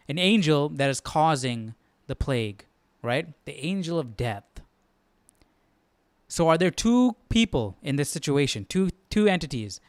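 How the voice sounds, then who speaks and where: A man's voice: mid-range voice, smooth, dry recording. A man hosting a Bible study livestream, talking casually and thinking aloud in questions. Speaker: host of a live Bible study.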